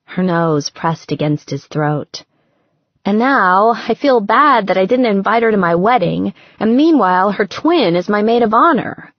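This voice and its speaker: A woman voicing an affectionate, sardonic young bride-to-be who frets, in an expressive conversational manner for an audiobook. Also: mid-range voice, a little slow, no echo, lo-fi sound, voice pushed up. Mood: fretful, guilty.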